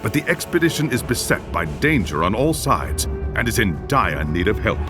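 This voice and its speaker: A man narrates an epic game story in a grave, storytelling way. His pitch sweeps widely from line to line, and music plays underneath. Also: very animated, moderate pace.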